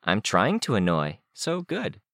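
A man's voice: lowish voice, huge pitch swings, measured pace, creaky, a bit bright, no echo, studio quality, voice pushed up, heavily acted. A man voicing a blunt, needling teenage girl who scolds and teases in an audiobook story. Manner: scolding, teasing.

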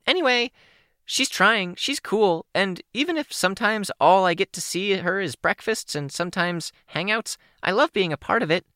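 A chirpy, talkative young teen girl spills her words out in quick rushing bursts. Her voice leaps high and moves a lot. The audiobook sound is dry and close. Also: animated, sharp tone, dry recording. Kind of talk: audiobook character voice.

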